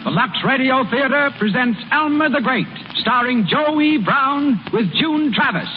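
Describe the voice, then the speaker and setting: A man's voice: extremely high pitch, unhurried. A man announcing a 1930s radio program from a script in a formal, emphatic, declamatory way. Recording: lo-fi 1930s radio with loud music.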